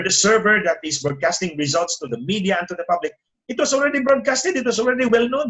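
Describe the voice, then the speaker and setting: A middle-aged man from the Philippines, a Tagalog speaker talking in English as an attorney guest, indignant and climbing in pitch when he presses a point. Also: a bit bright, rough recording. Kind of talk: interview over a call.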